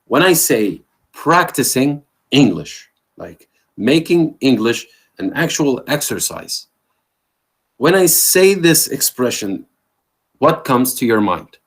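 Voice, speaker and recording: A man's voice: medium pitch, sing-song, slow pace, creaky, slightly rough. A man giving an interactive online language lecture as the trainer, quizzing a participant and affirming his answers over a video call. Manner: questioning, affirming with "there you go".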